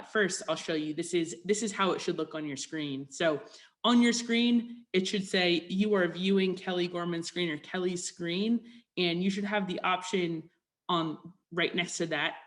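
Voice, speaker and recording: A woman's voice: on the low side, steady tone, normal speed. A woman presenting an online workshop over a video call in a conversational, informal way, inviting people to join in. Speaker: workshop presenter, health promotion specialist.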